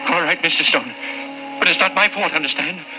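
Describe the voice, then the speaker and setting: An actor playing a timid, guilt-ridden old man in an old-time radio drama, halting, anxious and pleading. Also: fairly high pitch, natural voice. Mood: frightened, pleading. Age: older. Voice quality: halting, stammering. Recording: muffled, noisy old-time radio recording.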